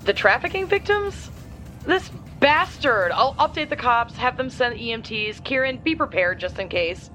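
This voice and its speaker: A woman's voice: fairly high pitch, expressive, moderate pace, sharp tone, faint music behind. A woman playing a fierce, businesslike superhero support teammate giving orders in an audio drama. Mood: outraged, decisive.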